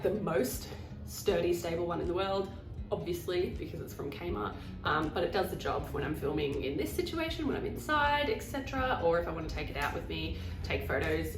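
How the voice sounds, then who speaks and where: A woman's voice: fairly low pitch, reverberant, distant mic. Nasal, chatty woman with a cold. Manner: chatty and rambling, self-deprecating. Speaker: vlogger. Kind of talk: casual haul vlog.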